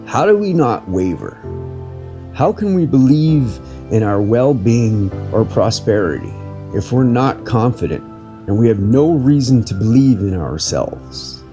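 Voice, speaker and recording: A man hosts a reflective, scripted reading in a somewhat low voice that moves widely in pitch. He speaks very slowly and deliberately, with earnest phrasing. Faint plucked guitar plays behind him. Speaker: podcast host and reader. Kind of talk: scripted narration, inspirational article reading. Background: soft plucked guitar music.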